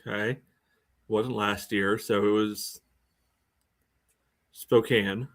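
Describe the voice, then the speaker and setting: A man co-hosting a casual soccer podcast, thinking out loud in a wry way. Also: on the low side, steady tone, very slow. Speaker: sports podcast co-host.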